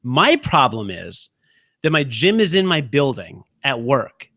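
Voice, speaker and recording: A man in his thirties co-hosting a podcast, chatting casually in a quick, halting way full of fillers. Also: mid-range voice, very animated, pushed and bright, dry recording, clean studio sound. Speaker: podcast co-host. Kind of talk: casual chat.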